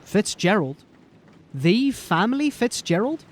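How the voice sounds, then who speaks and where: A man's voice: high voice, very animated, a little slow, heavily acted. A man playing a stern male city watch sergeant who questions people in a formal, suspicious way. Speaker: police sergeant.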